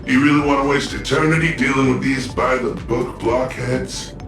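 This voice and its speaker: A man's voice: medium pitch, fairly even intonation, moderate pace, creaky, echoey room, far from the mic, music in the background, lightly acted. A man voicing a flamboyant, self-mocking corporate security officer in a video game, with a swaggering, glib, theatrical delivery. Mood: brisk, commanding.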